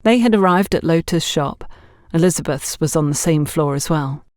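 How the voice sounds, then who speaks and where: Lowish-voiced woman narrating, very dry sound. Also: moderate pace, a little mellow, dry recording. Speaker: audiobook narrator. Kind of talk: scripted story narration.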